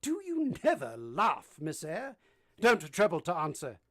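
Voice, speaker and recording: A woman playing a brusque, moody gentleman master in a vintage-style radio drama, commanding and teasing as they question. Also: fairly low pitch, wildly swooping pitch, measured pace, full character voice. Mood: brusque, insistent. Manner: imperious, teasing, theatrical questioning.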